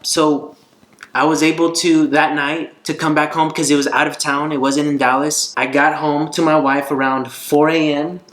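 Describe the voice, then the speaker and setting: A man's voice: a little high, level tone, normal speed, fairly bright tone. A man co-hosting a vlog, casually telling a personal story. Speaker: vlog co-host. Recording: echoey room with faint music.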